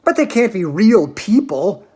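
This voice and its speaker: A woman's voice: mid-range voice, very animated, a little slow, warm tone, breathy edge. A woman reading the lines of an eager young boy in a wide-eyed, earnest voice.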